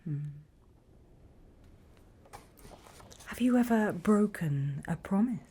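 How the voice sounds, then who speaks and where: A woman voicing a sly, mocking demon in an ASMR roleplay, with a British accent and a breathy, husky voice that often drops to a whisper. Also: mid-range voice, animated, mellow. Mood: coaxing, interrogating. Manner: teasing, condescending, unhurried. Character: sly, mocking female demon who trades in purchased souls.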